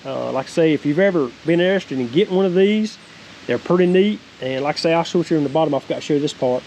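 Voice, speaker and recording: A man's voice: a little high, lively intonation, quick pace, a little mellow, low-quality recording. A middle-aged man with a Southern American accent giving a casual, folksy, plain-spoken product review. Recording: faint hiss and outdoor noise.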